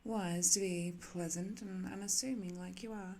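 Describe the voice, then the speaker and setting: A woman playing an ancient imprisoned villainess in an intimate ASMR roleplay, haughty yet infatuated, speaking softly with condescending teasing and tender, hesitant pauses. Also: fairly low pitch, fairly even intonation, lightly acted. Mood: condescending, approving.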